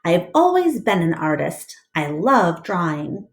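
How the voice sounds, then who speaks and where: A woman voicing a proud, thoughtful adult male gorilla in a storybook, measured and reflective. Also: fairly low pitch, sing-song, reverberant, full character voice.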